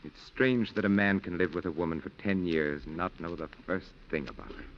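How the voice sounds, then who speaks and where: A man's voice: low-pitched, brisk, over loud music. An actor in a 1940s radio drama playing a principled, stern defense lawyer who is torn by his duty. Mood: resigned, grave. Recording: muffled 1940s radio broadcast recording.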